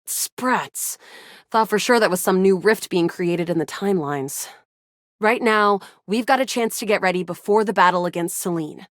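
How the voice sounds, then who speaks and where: A woman voicing a feisty, excitable young resistance fighter in a sci-fi video game, with a dramatic, playful delivery. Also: mid-range voice, moderate pace, no echo, clean studio sound, close to an everyday voice. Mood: confident, chatty. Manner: animated, dramatic, playful banter. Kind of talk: video game character voice acting.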